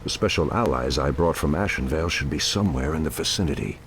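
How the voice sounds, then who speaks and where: A man playing a grave, wise ancient elven druid leader with a measured, formal delivery in a video game. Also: very deep voice, expressive, fairly bright tone, slight background noise, exaggerated voice. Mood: calm resolve. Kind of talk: dramatic video game cutscene acting.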